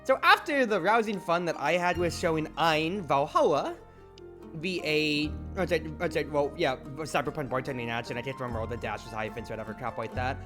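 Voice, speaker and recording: A woman's voice: deep voice, brisk. A woman hosting a casual game stream, talking in a chatty, rambling, playfully theatrical way. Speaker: streamer and host. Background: game music.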